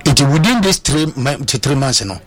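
A man's voice: mid-range voice, big pitch swings, fairly quick, slightly rough, no echo. A man with a Ghanaian accent giving football analysis on a sports talk show, hesitant, with many fillers and restarts, switching into Twi. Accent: Ghanaian English.

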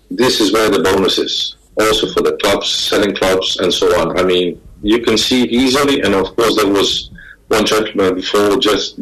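A man from Denmark, speaking as a guest on a panel over a video call, halting and repeating words as he talks. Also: on the low side, a bit bright, low-quality recording. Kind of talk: remote panel talk.

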